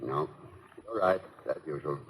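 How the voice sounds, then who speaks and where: An actor playing a witty, dryly grumbling American college president in an old-time radio drama, articulate and a little hesitant. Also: male voice, extremely low pitch, animated, unhurried, fairly bright tone, husky, exaggerated voice. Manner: wry, articulate, somewhat hesitant. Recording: noisy vintage radio recording.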